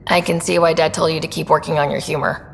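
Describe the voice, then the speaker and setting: A young female space explorer from a video game, wry and curious. Her casual voice is lowish with a gravelly creak, and it rings in a long reverb. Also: flat delivery, fast talker. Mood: wry, joking. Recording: long reverb, low rumbling noise.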